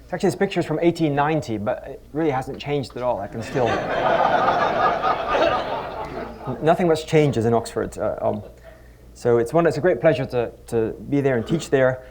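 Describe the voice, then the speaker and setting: A man's voice: mid-range voice, expressive, normal speed, pauses often, noisy background. A middle-aged man from the Netherlands, a physics professor giving a conference talk with wry, self-deprecating asides and frequent restarts. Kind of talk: conference talk with humorous anecdotes. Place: conference stage before a live audience.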